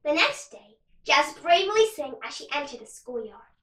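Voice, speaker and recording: A woman reads a children's story expressively. Her voice is very high and bright. It sounds roomy, as if recorded in an echoing space. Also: very animated, normal speed. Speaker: storybook reader, actor. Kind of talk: expressive children's read-aloud narration. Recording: roomy, some distance from mic.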